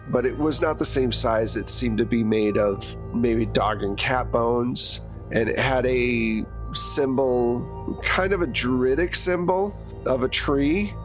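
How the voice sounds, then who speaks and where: A man running a tabletop game as game master, recapping the story in a relaxed, chatty way. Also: medium pitch. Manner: relaxed, chatty narration with fillers.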